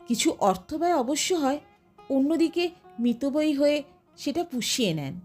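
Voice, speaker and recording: A woman. Her intonation is lively, rising and falling widely phrase to phrase. Faint music plays behind her reading. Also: high-pitched, normal speed. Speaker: audiobook narrator. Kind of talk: expressive literary story narration.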